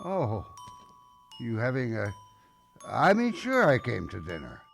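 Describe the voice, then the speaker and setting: Radio drama old man: grumpy but soft-hearted grandfather, folksy, very slow, hesitant delivery. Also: medium pitch, wildly swooping pitch, vocal fry, music in the background, heavily acted. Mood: awkward. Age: older.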